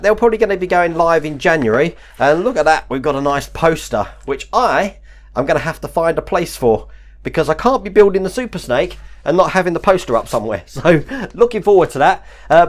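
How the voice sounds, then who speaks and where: Hoarse man chatting through an unboxing. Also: on the high side. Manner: chatty, rambling with many fillers and little laughs. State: recovering from chest infection, hoarse deep voice. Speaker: model-kit channel host. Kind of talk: casual unboxing chat.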